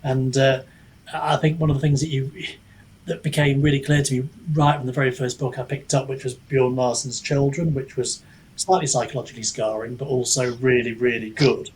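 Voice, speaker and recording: A man with a husky, slightly rough voice at a medium pitch talks as a guest in a casual discussion. He is reflective and often hedges, restarting his thoughts as he works them out aloud. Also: little pitch movement, brisk, darkish tone, rough recording. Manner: reflective, hesitant, hedging, thinking aloud. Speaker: podcast guest, author and book reviewer.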